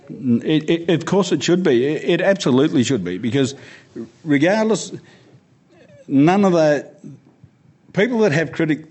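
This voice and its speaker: Aussie guy answering audience questions, earnest and emphatic, stumbles on first words, mid-pitched voice. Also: a little mellow, husky. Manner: earnest, emphatic, repeats words when starting. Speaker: guest speaker answering questions. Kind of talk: panel Q&A answers.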